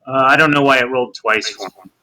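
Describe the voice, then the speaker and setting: Very sharp, bright-voiced male player. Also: mid-range voice, fairly quick, poor audio. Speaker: player in a tabletop role-playing game. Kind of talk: casual game table chat.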